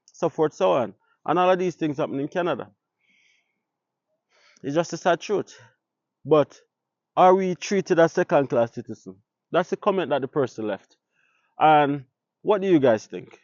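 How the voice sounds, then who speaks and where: A man's voice: fairly high pitch, animated, normal speed, pauses often, darkish tone, no room sound. Jamaican man vlogging, dry muffled sound. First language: Jamaican Patois. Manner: rhetorical, asks viewers questions. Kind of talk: casual talk to camera.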